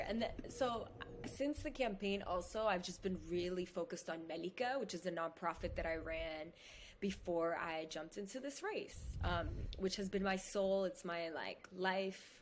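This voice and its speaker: A woman guest on a podcast video call, talking in a lively, casual way with lots of fillers. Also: lowish voice, slight background noise. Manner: animated, fast, lots of "like". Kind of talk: casual conversation. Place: indoor room at home. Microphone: headphones on a video call.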